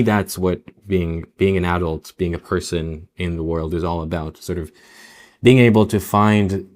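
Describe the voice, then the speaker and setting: Young israeli guy, hebrew first language, really low voice, thinking out loud on a podcast, lots of um and restarts. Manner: reflective, many restarts and "um"s. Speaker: novelist guest. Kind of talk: podcast interview.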